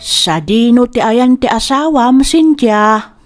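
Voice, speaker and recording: A woman's voice: a little high, animated, slow, faint music behind. An actress playing a concerned, disapproving older mother in an Ilocano radio drama, questioning fretfully in an Ilocano accent. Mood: concerned disapproval.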